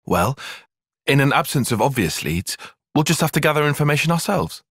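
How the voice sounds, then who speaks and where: An earnest young strategist for a video game cutscene. His phrasing is formal and exact, with animated pitch swings and a slightly husky edge. The recording is very dry, with no room around it. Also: a little fast, no room sound. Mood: composed, analytical. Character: analytical, reserved young male military strategist, earnest and proper.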